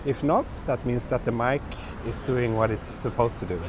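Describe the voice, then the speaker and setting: A man with a hoarse, slightly broken voice talks in a relaxed, chatty way as he hosts a live walk. He is recorded outdoors on a small clip-on mic, with city traffic clearly audible behind him. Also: mid-range voice, moderate pace. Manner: relaxed, chatty, reads out viewer comments. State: hoarse from a cold. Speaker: livestream host. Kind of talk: live walking stream chat. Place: busy city streets outdoors at night. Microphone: small wireless clip-on mic. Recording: outdoor street noise. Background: city traffic and street noise.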